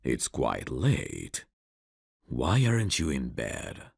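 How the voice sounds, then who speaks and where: Very deep, gravelly vampire count. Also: male voice, wildly swooping pitch, darkish tone, dry recording, clean studio sound, heavily acted. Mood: intimate, intrigued. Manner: slow, courtly, old-fashioned, intimate phrasing. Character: suave male vampire count, courtly, seductive, quietly menacing. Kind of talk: ASMR roleplay acting. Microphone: close dry studio mic.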